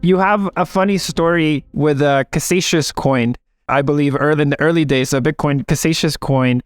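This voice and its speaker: A man's voice: fairly high pitch, normal speed, no room sound, clean studio sound. A man hosting an interview, opening with scripted narration and then asking his guest questions. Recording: clear music bed under voice.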